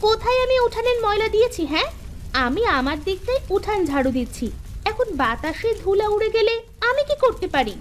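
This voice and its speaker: A woman's voice: extremely high pitch, very animated, fairly quick, a little hiss or hum. A woman playing a quarrelsome, suspicious village housewife in a cartoon story, scolding and wailing theatrically. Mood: aggrieved, protesting. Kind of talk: Bengali cartoon story acting.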